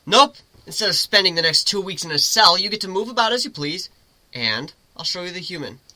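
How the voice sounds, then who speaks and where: A man voicing a cocky, teasing male griffin with a loud, playful, taunting delivery in a dramatic story reading. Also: sits high, expressive, a little fast, no room sound, voice pushed up, exaggerated voice. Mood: smug. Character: cocky teasing male griffin, gleeful daredevil sky sailor.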